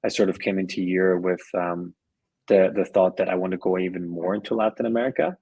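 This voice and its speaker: Deep, flat-voiced man talking business. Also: brisk, slightly rough, dry recording. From Switzerland and Colombia. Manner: many restarts and repeated words, easygoing. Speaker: podcast guest, venture investor. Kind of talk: conversational interview.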